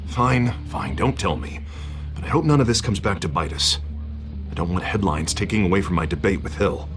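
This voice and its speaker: Earnest worried politician, lowish voice, pressing tone, strong game music behind. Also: male voice, animated, moderate pace. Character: ambitious male politician, anxious and earnest. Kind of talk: video game voice acting.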